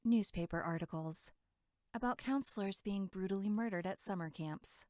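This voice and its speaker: A woman's voice: medium pitch, normal speed, a little mellow, no echo, studio quality, close to an everyday voice. A woman playing a sarcastic, snippy young female camp counselor in a horror audio drama, speaking in a curt, sardonic way. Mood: incredulous.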